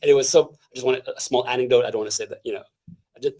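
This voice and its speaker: A man from Canada, a guest speaker in an interview, talking with energy in a fairly high voice, full of restarts and fillers. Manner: animated, halting with many restarts and fillers. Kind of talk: casual interview talk.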